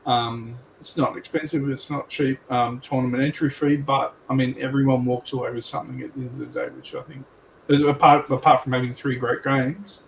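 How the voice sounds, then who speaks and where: A man's voice: mid-range voice, fairly even intonation, brisk, gravelly. A man chatting casually on a livestream panel, rambling and often qualifying what he says. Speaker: tournament organiser on a livestream panel. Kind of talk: casual chat. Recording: roomy echo, background noise.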